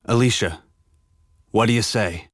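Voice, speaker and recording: A man's voice: fairly low pitch, a little slow, dry recording, clean studio sound. A man voicing an impulsive but caring young half-elf adventurer in a video game cutscene. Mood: determined.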